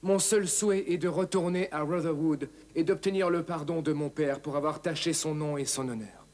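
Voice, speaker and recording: A man's voice: fairly high pitch, fairly even intonation, normal speed, a little mellow, lightly acted. A man playing an earnest, honourable young knight in a cartoon, speaking formally. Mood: humble, sorrowful. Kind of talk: dubbed cartoon acting.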